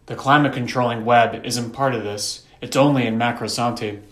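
A man's voice: medium pitch, fairly even intonation, moderate pace, reverberant, natural voice. A man voicing an earnest, determined older brother, a boy who talks in a reassuring, insistent way in a story. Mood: explaining, reassuring.

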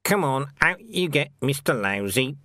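A man voicing a deferential, placating lowly underling in an audiobook, murmuring excuses. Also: animated, measured pace, bright, forceful tone, no room sound, clean studio sound.

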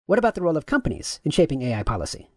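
A man reading the lines of a courteous, gender-neutral AI chatbot, with his voice pitch-shifted upward. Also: wildly swooping pitch, rapid-fire, darkish tone, no room sound, studio quality. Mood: polite, agreeable. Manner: courteous, affirming, asks follow-up questions. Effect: pitch raised.

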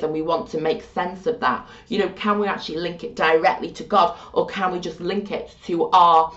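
A woman teaching a religious studies lesson in a chatty, animated way with self-deprecating asides, her voice getting croaky. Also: lowish voice, recorded from a distance. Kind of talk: informal video lesson. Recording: muffled, roomy, from off-mic.

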